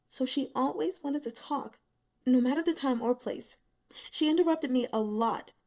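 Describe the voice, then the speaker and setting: A woman's voice: a little high, mellow, lightly acted. Bitter, exhausted young female student, acted by a woman. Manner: sarcastic, pained, emphatic.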